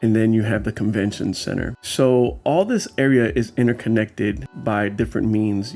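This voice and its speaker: Guy w a lower voice doing casual vlog voiceover, chill and chatty, lots of ums, soft music under it. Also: vocal fry. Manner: easygoing, explanatory, with frequent fillers. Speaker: street photographer and channel host.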